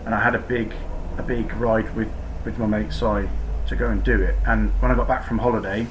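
A man's voice: on the low side, little pitch movement, a little fast, noisy background. A man from Cornwall, a podcast guest talking casually about cycling in a rambling, self-deprecating way with many restarts. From Cornwall, England. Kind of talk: casual interview chat.

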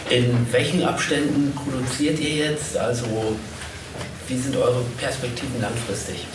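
A male interviewer with a medium-pitched voice talks in long reflective sentences at a live panel. The room is echoey and he sounds far from the mic, with clear background noise. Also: steady tone, unhurried. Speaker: podcast host and interviewer. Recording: echoey room, distant, noisy.

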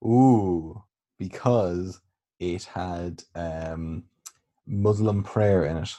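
A man's voice: low-pitched, very slow, mellow. A man answering quiz questions in casual chat, hesitant and thinking aloud with fillers. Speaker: quiz contestant.

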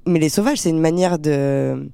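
A young actress from France, talking earnestly in an interview with many fillers and restarts. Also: female voice, low voice, moderate pace, vocal fry, dark tone, clean tone, dry recording. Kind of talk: casual interview talk.

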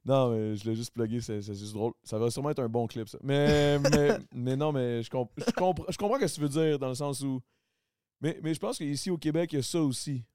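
A man's voice: mid-range voice, brisk, a little mellow, smooth, dry recording, clean studio sound. A man with a Québécois accent hosting a podcast, chatting casually, teasing, and joking with slang. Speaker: podcast host.

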